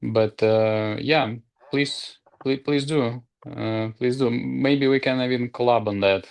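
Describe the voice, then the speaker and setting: Russian man, mid-pitched, friendly and halting, casual stream guest. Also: steady tone, gravelly, a little mellow, clear, resonant tone. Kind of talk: casual chat. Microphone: video call.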